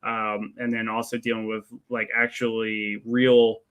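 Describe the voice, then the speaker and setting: A man with a bright, forward voice chats through a film review. He explains in a halting, conversational way, often circling back to pick up his thread. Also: lowish voice, little pitch movement, smooth. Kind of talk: casual film review discussion.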